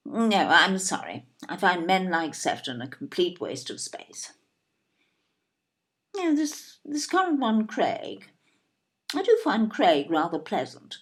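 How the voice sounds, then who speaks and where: An older, upper-middle-class English wife in a radio play. Her voice is low and creaky, swooping widely as she hands down haughty, plummy judgements. She sounds opinionated and disapproving. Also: exaggerated voice. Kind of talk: audio play acting.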